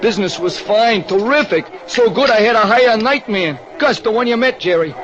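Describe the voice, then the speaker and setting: A muffled, faintly noisy vintage radio drama recording carries a high-pitched diner owner. He is a working-class American, slangy and ungrammatical. He sounds plaintive, excitable and gloomy. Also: moderate pace. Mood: worried. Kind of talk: 1940s radio acting.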